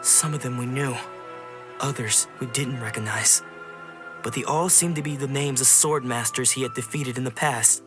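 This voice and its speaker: A man's voice: medium pitch, moderate pace, over music, natural voice. A man playing an earnest, reassuring young sword disciple in a video game, polite and explanatory. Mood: concerned, hushed.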